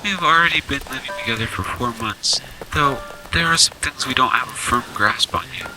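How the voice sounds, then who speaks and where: Man acting a gentle, thoughtful teenage boy. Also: medium pitch, fairly quick, over music, close to an everyday voice, rough recording. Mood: reflective, worried. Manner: reflective, earnest. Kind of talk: amateur anime-style radio drama acting.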